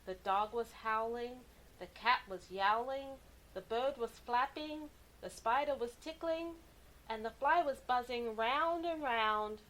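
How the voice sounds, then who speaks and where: A woman reading a children's story aloud with animated, deliberate, dramatic expression. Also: fairly high pitch, rough recording. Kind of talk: expressive picture-book narration for kids.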